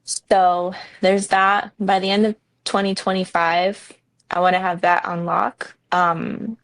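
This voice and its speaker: A woman in her thirties from New Jersey, a real estate broker answering interview questions in a frank, relaxed way with many ums. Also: medium pitch, takes it slowly, no echo, poor audio.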